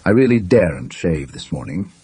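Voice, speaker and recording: A man voicing a glum, irritable, easily rattled gentleman who grumbles curtly in a ghost story audiobook. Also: sits low, very animated, moderate pace, husky, no room sound, full character voice. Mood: shaky, glum. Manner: grumbling, curt, indignant.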